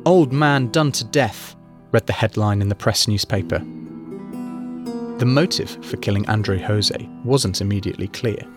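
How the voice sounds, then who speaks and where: A man reading a true-crime feature article in measured scripted narration. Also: lowish voice, over music.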